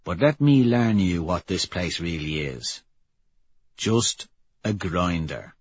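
A man's voice: low-pitched, expressive, slow pace, husky, pitched below the performer's own voice, heavily acted. A man voicing a wry, stringy working-class smuggler in an audiobook, talking in cocky slang. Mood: wry, cynical. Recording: muffled, roomy audio.

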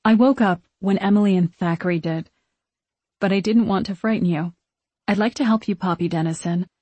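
Woman voicing a gentle, wistful young witch ghost, husky. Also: mid-range voice, dry recording, lightly acted. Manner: earnest, old-fashioned, measured phrasing. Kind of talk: audiobook character acting.

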